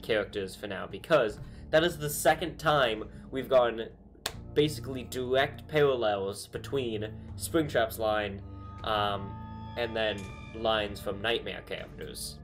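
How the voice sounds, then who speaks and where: Chatty young man thinking aloud. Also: medium pitch. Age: young adult. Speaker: YouTuber analyzing game dialogue. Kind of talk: casual commentary. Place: small bedroom with string lights.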